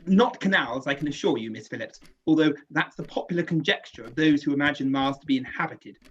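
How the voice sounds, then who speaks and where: An actor playing a calm, skeptical male astronomer professor in a radio play, measured and dismissive. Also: fairly high pitch, husky, lo-fi sound. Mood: assured. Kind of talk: amateur radio play acting.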